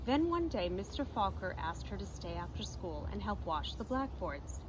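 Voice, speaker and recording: A woman reading a storybook aloud expressively in a teacherly manner, pausing to explain and stress the moral. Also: big pitch swings. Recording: clearly noisy recording with ambient rumble.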